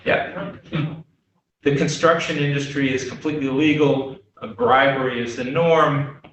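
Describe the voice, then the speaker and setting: An older American man giving an informal lecture, telling anecdotes in a halting, self-deprecating way. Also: mid-range voice, steady tone, fairly bright tone, slight background noise. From Boston, United States. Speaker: guest lecturer, development consultant. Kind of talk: informal slide lecture with audience questions. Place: echoey meeting room before a small audience. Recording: very echoey room, distant microphone.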